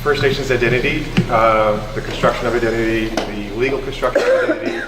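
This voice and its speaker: A man presenting as a subject matter expert, speaking loudly and informally without using the microphone. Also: medium pitch, wildly swooping pitch, fast. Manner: loud, informal speaker who skips the microphone. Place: community recreation hall. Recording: distant, echoey hall recording with background hum.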